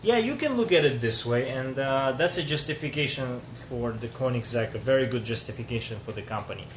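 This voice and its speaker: A man's voice: mid-range voice, animated, brisk. A man from Georgia hosting a casual live stream chat, chatty and joking as he answers viewers. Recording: echoey room with faint noise.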